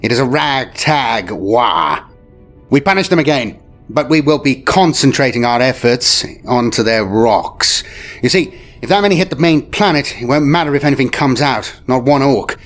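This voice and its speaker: A man playing a commanding, animated space admiral. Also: medium pitch, pitched above the performer's own voice, natural voice. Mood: animated, confident. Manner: declamatory, clipped military phrases with weighty pauses. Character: commanding, shrewd veteran space fleet admiral prince. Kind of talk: dramatic audio story character. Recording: music behind the voice. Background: music.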